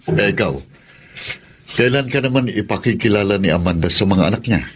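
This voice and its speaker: An actor playing a practical, advising male friend with a husky voice in a radio drama. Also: lowish voice, fairly quick, slight background noise, close to an everyday voice. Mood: encouraging.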